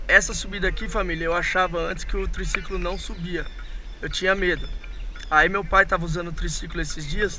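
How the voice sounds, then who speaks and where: A hoarse man with a Brazilian Portuguese accent talks in a chatty, rambling way, calling his viewers family. His voice sits high for a man. It was recorded outdoors on an action camera on the handlebars, with loud road and wind noise behind him. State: hoarse from a cold. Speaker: vlogger. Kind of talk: casual vlog talk while riding. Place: outdoors on city streets, riding an electric tricycle.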